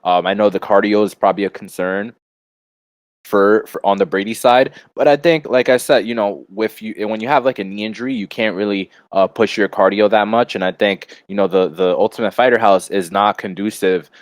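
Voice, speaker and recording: A man's voice: lowish voice, steady tone, fairly quick, no echo, clean studio sound. A man co-hosting a sports betting podcast, giving casual fight analysis in a rambling way, peppered with "you know" asides.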